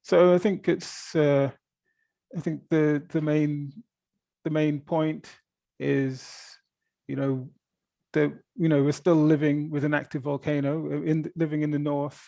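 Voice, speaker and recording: Halting, mellow-voiced man giving expert answers. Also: fairly high pitch, little pitch movement, vocal fry, dry recording. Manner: hesitant, halting explanations with repeated words. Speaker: volcano observatory director answering press questions. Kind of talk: spontaneous press conference answers.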